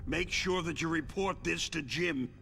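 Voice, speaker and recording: An actor playing a weary, wounded, middle-aged arms company executive in a dramatic video game, explaining himself in a halting, deliberate, defensive way. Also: male voice, on the high side, vocal fry, bright, forceful tone, faint music behind, full character voice. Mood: bitter, urgent.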